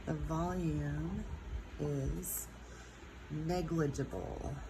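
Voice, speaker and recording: A woman teaching a chemistry lesson with a stuffed-up nose, speaking unhurriedly with many ums and drawn-out words. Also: sits low, steady tone, background noise. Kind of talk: recorded classroom lesson.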